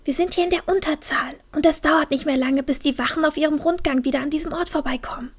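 A woman playing an impatient, sharp-witted young girl adventurer in a fantasy audio drama, hushed and exasperated. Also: high-pitched, quick pace, natural voice. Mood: exasperated whisper.

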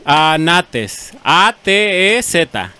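Animated high-voiced man joking around. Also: extremely slow, slight background noise. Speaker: comedy show host, prank caller. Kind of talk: joking phone-prank banter. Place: small studio room. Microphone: handheld mic close to the mouth.

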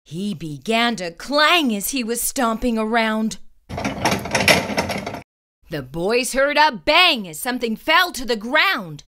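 Female children's storyteller, higher-pitched and very animated, dramatic suspenseful delivery. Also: takes it slowly, heavy background noise. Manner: suspenseful, theatrical, emphatic. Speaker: storyteller reading a children's book aloud. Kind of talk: dramatic read-aloud narration.

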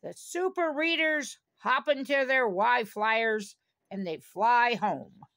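An older woman reads a children's story aloud. Her voice sits a little high and has a gravelly creak in it. She goes slowly and deliberately, adding small asides for the listener. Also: fairly bright tone. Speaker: storybook reader.

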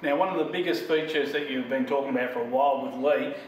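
A middle-aged fishing show host interviewing a mechanic, prompting with frequent agreement. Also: male voice, medium pitch, fast, slight background noise. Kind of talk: interviewing on camera. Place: indoor workshop beside a boat. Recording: echoey workshop, distant mic.

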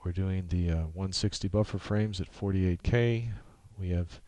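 A man presenting an informal software tutorial, explaining step by step in a halting way. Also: low-pitched, no room sound.

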